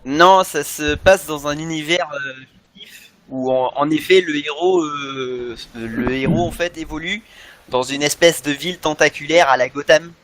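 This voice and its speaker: A man's voice: on the high side, normal speed, a loud crowd. A man talks in a casual podcast discussion. He explains at length and often circles back over his own phrases. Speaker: podcast panelist.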